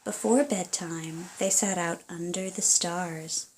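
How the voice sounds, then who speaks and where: A woman reading aloud for children and parents, in an expressive, deliberate storytelling manner. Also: lowish voice, rough recording. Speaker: storybook reader.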